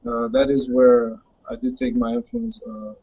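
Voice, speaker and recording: A young adult man from the Dominican Republic, a music producer answering interview questions in a halting way with many fillers. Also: high-pitched, very animated, gravelly, husky, phone-quality sound.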